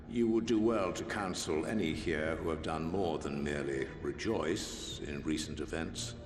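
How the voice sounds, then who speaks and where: Game villain: imperious older general, lowish voice, grave and fatalistic, reverberant hall with music underneath. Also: male voice. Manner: grave, imperious, archaic formal phrasing. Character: stern, fatalistic older male army general. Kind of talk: dramatic video game cutscene acting.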